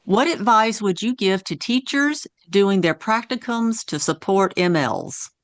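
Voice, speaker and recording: A woman reading out audience questions in a brisk, matter-of-fact way during an online panel over a video call. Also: mid-range voice, sing-song, no echo.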